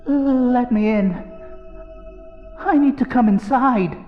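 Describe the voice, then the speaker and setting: A woman's voice: fairly high pitch, slow. A woman voicing a desperate, eerie stranger with a thin, reedy voice, in a muffled horror audio story under music. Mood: desperate pleading. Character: desperate unseen adult stranger begging to enter. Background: loud music.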